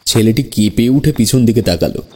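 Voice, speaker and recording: A man's voice: mid-range voice, expressive, brisk, a little mellow. A man narrating a scripted horror story in the first person.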